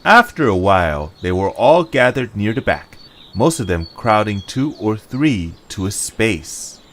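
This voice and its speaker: A man's voice: lowish voice, sing-song, measured pace, fairly bright tone, a little hiss or hum. A man reading scripted story narration as the narrator.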